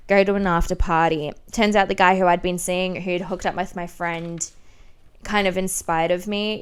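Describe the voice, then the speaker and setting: A young woman telling personal stories casually as a podcast host, in a slangy, self-mocking, animated way. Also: mid-range voice, clear, resonant tone. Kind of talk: casual confessional storytelling.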